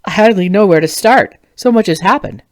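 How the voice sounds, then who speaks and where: A woman's voice: mid-range voice, expressive, brisk, no echo, studio quality, close to an everyday voice. A woman voicing a middle-aged farmer man who brings urgent news in a fantasy storybook. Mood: flustered. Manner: breathless, urgent, solemn.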